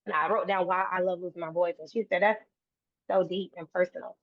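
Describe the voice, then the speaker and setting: A woman speaks earnestly and a little low, often restarting her phrases. The sound is tinny and phone-like, with no room echo at all. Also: steady tone, a little fast. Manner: earnest, repeats and restarts phrases, faith-filled. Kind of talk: casual interview conversation. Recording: dry, tinny phone-like line.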